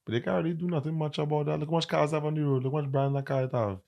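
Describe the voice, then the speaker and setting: A man with a Trinidadian accent, a content creator and comedian, chatting in an interview and telling stories with lively emphasis. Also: a little high, racing, vocal fry, highs cut off. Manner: animated, emphatic storyteller. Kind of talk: casual interview chat.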